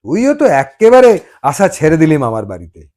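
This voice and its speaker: A man voicing a middle-aged village uncle in an audio story, loud, effusive and fondly chiding. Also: a little high, wildly swooping pitch, fairly quick, voice pushed up. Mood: hearty chiding.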